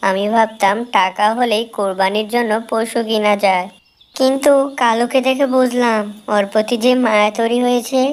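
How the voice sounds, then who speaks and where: A woman voicing a proud young child from a rich family in an animated moral story. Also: fairly high pitch, lightly acted. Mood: remorseful.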